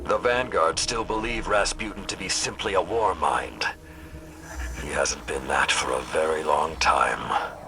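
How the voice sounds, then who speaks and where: A haunted old warrior mentor from a game cutscene. His voice is extremely low and gravelly, with solemn, deliberate phrasing that turns breathy at times. Music plays behind him. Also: exaggerated voice. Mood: grave warning. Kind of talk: cinematic video game voice acting.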